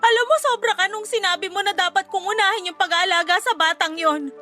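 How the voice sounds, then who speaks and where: An actress playing a resentful, defensive young wife in a Tagalog radio drama, arguing and complaining emphatically. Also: female voice, very high voice, fairly quick, bright, forceful tone, faint music behind. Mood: indignant. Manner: indignant, argumentative, emphatic complaining.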